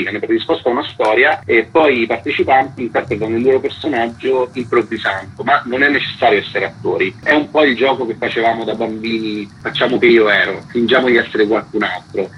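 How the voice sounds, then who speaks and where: A man explaining a live role-play game as a guest in a radio interview, calm and measured. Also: fairly low pitch, a little hiss or hum. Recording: dull radio broadcast.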